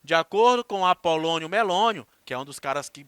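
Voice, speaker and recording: A man's voice: on the high side, animated, brisk, a bit bright, clean tone, no room sound, clean studio sound. A man teaching a recorded class, explaining things with chatty asides to his students.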